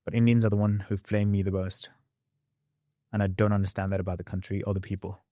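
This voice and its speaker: A young man with an accent blending Australian and English speech tells his story as a podcast guest. His voice sits low and comes across dry, with no room around it. He is lively and quick, acting out scenes as he talks. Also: no room sound. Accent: mixed Australian and English. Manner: animated, quick storyteller who acts out past scenes. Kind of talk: candid interview storytelling.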